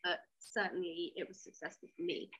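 A woman's voice: fairly low pitch, flat delivery, brisk, sharp tone, muffled recording. A woman talking candidly and with firm opinions as an interview guest, explaining things conversationally. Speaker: interview guest, sci-fi writer.

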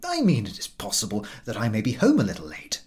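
A man's voice: lowish voice, wildly swooping pitch, fast, slightly rough, heavily acted. A man voicing a genteel, mildly sheepish husband in a storybook reading, speaking with polite hesitation. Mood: hesitant, evasive.

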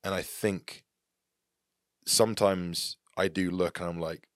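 A low-pitched English man in his thirties speaks earnestly and reflectively as a guest in a relaxed interview. His voice is close and dry, with no room sound around it. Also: slow, no room sound. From England. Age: 30s. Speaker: podcast guest. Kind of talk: casual interview talk.

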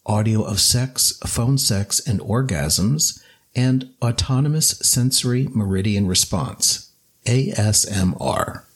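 Man, podcast host, slow pace, fairly low pitch, measured questions. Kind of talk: interview questions.

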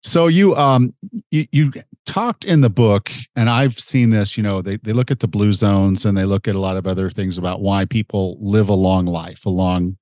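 A man's voice: fairly low pitch, normal speed, darkish tone, no echo, studio quality. A middle-aged man with a slightly nasal, stuffed-up voice hosting a conversational podcast. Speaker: podcast host and fitness coach.

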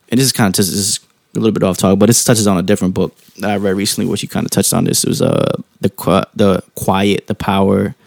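A man with a low, mellow, soft-spoken voice talks casually and calmly, thinking aloud, rephrasing as he goes and checking in with the listener in informal slang. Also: gravelly, no echo, clean studio sound. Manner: calm, informal slang, halting rephrasing with frequent tag questions. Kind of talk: casual reflective monologue.

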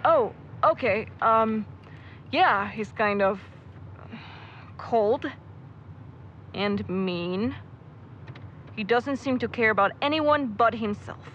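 Woman as a high-voiced hesitant young man. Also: animated, a bit bright, muffled recording, a little hiss or hum. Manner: hesitant, halting. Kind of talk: audio drama acting.

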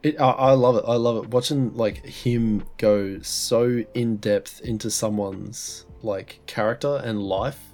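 A man's voice: medium pitch, fairly even intonation, measured pace, hardly any pauses, a little mellow, faint music behind. A man co-hosting a podcast in casual chat, blocked-nosed with a sore throat, rambling with many restarts and swearing. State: congested with a head cold, sore throat. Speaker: podcast co-host.

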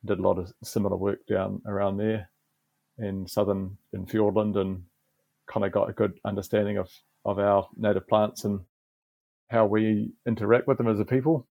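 A middle-aged man with a New Zealand accent, a garden manager, explaining in a relaxed podcast interview with frequent restarts. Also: fairly low pitch, moderate pace, vocal fry, no room sound.

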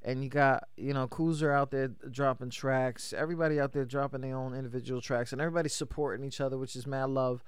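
A man hosting a casual hip-hop radio interview, with a high voice and a loose, hyped manner full of shout-outs. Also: steady tone, quick pace, few pauses, clean tone, dry recording, studio quality. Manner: loose, hype, lots of shout-outs and fillers. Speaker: radio show host.

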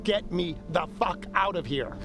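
A paranoid, demanding man of power in an audio drama. His agitated, insistent voice moves widely in pitch, with music clearly behind it. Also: animated, unhurried. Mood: exasperated, demanding.

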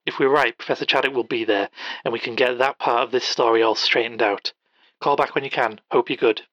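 A man's voice: medium pitch, steady tone, brisk, a bit bright, no room sound, close to an everyday voice. A man playing an earnest, informal field reporter leaving chatty phone messages in an audio drama. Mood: friendly, concerned. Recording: dull, dry, lo-fi phone message.

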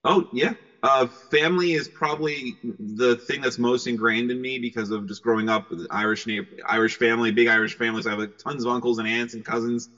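A cheerful, excitable man giving casual answers as a voice actor in an interview. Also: medium pitch, brisk, hardly any pauses. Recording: muffled, long hall-like reverb.

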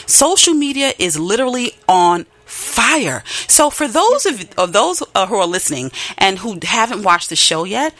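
A woman hosting a radio interview show, excited and gushing as she introduces and questions her guest. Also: medium pitch.

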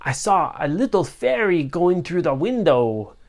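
A sneaky male pirate captain from a bedtime story, gloating and theatrical, voiced by a woman. He talks louder and a little higher than the storyteller's own voice, and he sounds pleased with his own mischief. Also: sing-song, measured pace.

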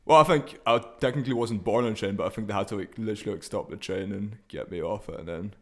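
A man's voice: lowish voice, fast. A man from Transnistria chatting as a podcast guest, telling personal stories in a laid-back, slangy, jokey way. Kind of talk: casual chat, personal storytelling.

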